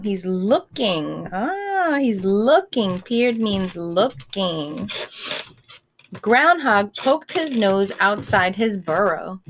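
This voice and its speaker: A woman reading a picture book aloud like a teacher, in simple child-directed speech, pausing to ask questions and explain words. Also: medium pitch, a little hiss or hum. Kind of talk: read-aloud storytime with asides and questions.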